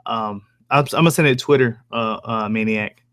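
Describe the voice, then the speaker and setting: A young man with a soft voice hosting a livestream, chatting casually in rambling, slangy, profane talk. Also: fairly low pitch, brisk, no room sound, clean studio sound. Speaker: livestream host, horror story narrator. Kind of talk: casual livestream chat with viewers.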